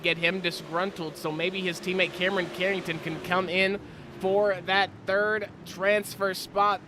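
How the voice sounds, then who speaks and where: A man doing play-by-play commentary for a simulated racing broadcast, slightly stuffy-nosed from a cold, with his pitch climbing during close battles. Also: pushed and bright, a little hiss or hum. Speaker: race commentator.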